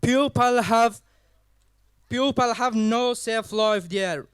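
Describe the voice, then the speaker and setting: Slow, high-voiced young Afghan man. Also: a bit bright, clear, resonant tone, no echo. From Afghanistan, raised partly in Pakistan. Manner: halting, deliberate, in short phrases with non-native English. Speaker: guest speaker at a community event. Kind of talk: public speech, personal testimony.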